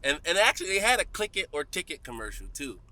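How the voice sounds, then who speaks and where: An American man chatting casually and telling stories in animated, slangy speech. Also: a little high, fast talker, no room sound, low-quality recording. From United States. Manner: animated, slangy, acts out quotes. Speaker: vlog co-host. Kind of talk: casual chat and storytelling. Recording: car interior with road noise.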